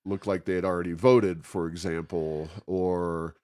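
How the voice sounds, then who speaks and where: A man hosting a conversational interview, phrasing his questions in a halting, searching way. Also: deep voice, little pitch movement, darkish tone. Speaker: interview host.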